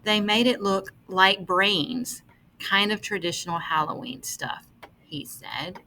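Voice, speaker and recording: A woman reading an article aloud as scripted narration, with measured, deliberate phrasing. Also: medium pitch, pushed and bright, a little hiss or hum, low-quality recording.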